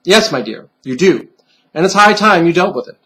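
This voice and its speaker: A man's voice: high-pitched, lively intonation, normal speed, sharp tone. A man voicing a stern, patronizing bunny pin who lectures in formal phrases in a storybook reading.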